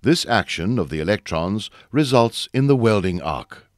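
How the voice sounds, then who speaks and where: A man with a fairly low voice narrates technical training material. His delivery is formal and measured, yet the pitch moves widely from phrase to phrase. The sound is close and dry, like a studio voice-over. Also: expressive, no room sound. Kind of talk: scripted instructional narration.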